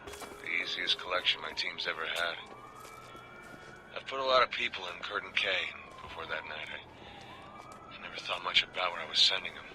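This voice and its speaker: A man's voice: low voice, a little fast, long pauses, noisy background, close to an everyday voice. A middle-aged man acting a weary, blunt government agent turned informant, recording a reflective confession for a video game. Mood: regretful, heavy. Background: music.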